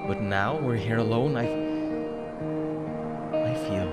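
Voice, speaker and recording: Halting, anxious young guy, slow-paced. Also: lowish voice. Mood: shy, tender. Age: young adult. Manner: hesitant, halting, stammering. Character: awkward, anxious, lovestruck young man lost among horrors. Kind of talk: video game cutscene acting. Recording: loud music under the voice. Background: guitar music.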